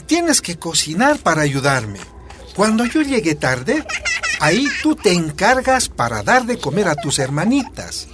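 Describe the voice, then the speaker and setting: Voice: medium pitch, very animated, a little slow. An actor playing a loving but firm father in a radio drama, with a Bolivian accent and a tender, emphatic delivery. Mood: gently firm. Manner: tender, emphatic, uses affectionate diminutives.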